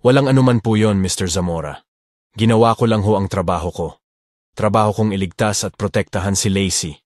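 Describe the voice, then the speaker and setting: A man's voice: lowish voice, a little fast, no room sound, studio quality, lightly acted. A man playing a devoted, protective young bodyguard in a romance audio drama, speaking with earnest intensity. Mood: humble, resolute. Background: music.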